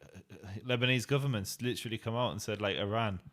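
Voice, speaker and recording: A man hosting a casual debate conversation, speaking in a measured, agreeable way and building on his guest's points. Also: lowish voice, fairly bright tone.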